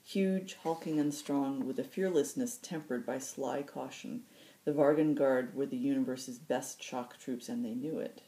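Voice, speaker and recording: Very deep-voiced woman narrating fiction. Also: measured pace, mellow, rough recording. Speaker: host reading book excerpts. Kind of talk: audiobook-style fiction narration.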